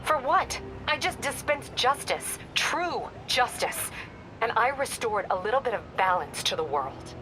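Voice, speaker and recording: An actress playing a hardened, defiant female vigilante in a video game, with a cold, deliberate delivery. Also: a little high, big pitch swings, over music, rough recording.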